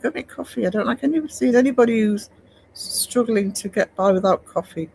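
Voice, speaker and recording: Chatty man with a high voice. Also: fairly quick, creaky, a little mellow, slight background noise, poor audio. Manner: chatty, rambling, many fillers and restarts. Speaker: art livestream host. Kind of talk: casual chat reading live comments.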